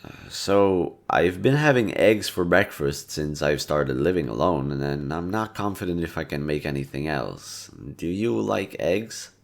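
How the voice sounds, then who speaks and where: A young man with a gravelly, fry-heavy voice, relaxed and gently teasing. He speaks softly to a listener, as in an intimate audio roleplay. His pitch sits very low throughout. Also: a little slow, hardly any pauses. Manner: laid-back, teasing, soft-spoken. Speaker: voice actor.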